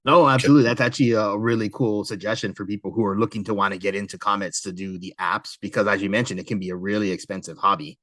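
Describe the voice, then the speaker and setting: A man's voice: lowish voice, steady tone, quick pace, hardly any pauses, a bit bright, no room sound. A man hosting a podcast, chatting casually. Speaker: podcast host. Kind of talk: casual chat.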